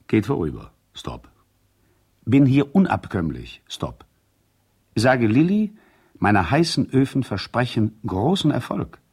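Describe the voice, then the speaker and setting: A man's voice: mid-range voice, darkish tone, breathy edge, exaggerated voice. An actor playing a breezy, unworried businessman husband, reading out chummy, emphatic messages to an old friend in a radio play. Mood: brisk, dismissive.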